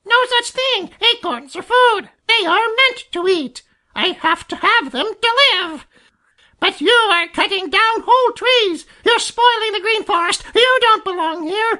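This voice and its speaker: A man's voice: very high-pitched, lively intonation, a little slow, bright, forceful tone, voice pushed up, exaggerated voice. A man voicing a furious, scolding male blue jay from an animal storybook, screaming in a harsh screech. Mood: screaming anger. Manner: screaming, accusatory.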